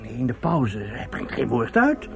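A man's voice: medium pitch, sing-song, normal speed, gravelly. An actor playing a bustling, officious theatre man in a radio play, polite, fussy and hesitant, often repeating himself. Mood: concerned.

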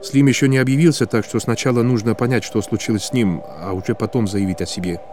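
A man's voice: medium pitch, fairly even intonation, fast, music in the background, lightly acted. A man voicing a composed, pragmatic male boss on the phone in a dramatized audiobook. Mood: calm, guarded.

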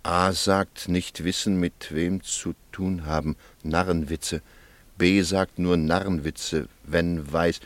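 A bewildered young gentleman in a radio drama, in a deep voice with a dry, close sound. He is earnest and constantly questioning. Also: steady tone, slow pace, no echo. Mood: puzzled reading. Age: young adult. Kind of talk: German radio play acting.

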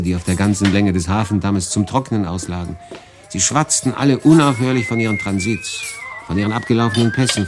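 Melancholy young refugee telling his story, intense, lowish voice, music behind, radio play. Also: creaky. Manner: intense, swinging between hushed and emphatic. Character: weary, brooding young German refugee narrator, passionate, melancholy. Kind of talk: dramatic first-person narration in radio drama.

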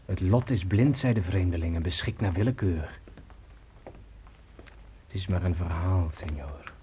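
A man's voice: low voice, measured pace, dark tone, a little hiss or hum. A man acting in a radio drama as a domineering, taunting storyteller who speaks insistently and coaxingly. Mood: taunting, commanding. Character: domineering, taunting male storyteller, Señor Pardo.

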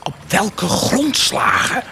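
An actor playing a cruel, blasphemous warlord in a radio drama, mocking and grandiose, declaiming sardonically with dramatic pauses. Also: male voice, high voice, animated, vocal fry, pushed and bright, exaggerated voice. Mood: mocking, questioning. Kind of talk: theatrical radio drama acting.

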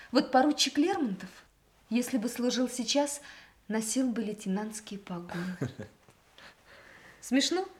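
A woman's voice: medium pitch, natural voice. An actress in a radio play, playing a proud, ironic woman who teases her old love. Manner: ironic, teasing, literate.

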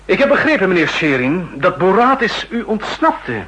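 Stern general, husky, kinda high voice, formal, old radio drama. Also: male voice, very animated, moderate pace, sharp tone, full character voice, low-quality recording. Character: stern older male general chairing a meeting. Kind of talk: 1960s radio drama acting.

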